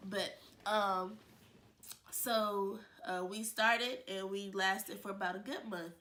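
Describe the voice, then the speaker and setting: A woman's voice: mid-range voice, steady tone, slow, pushed and bright. Woman, congested nasal voice, casual vlog chat, many ums, rambling. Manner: chatty, many fillers and restarts. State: stuffed up, congested. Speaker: vlogger, channel host.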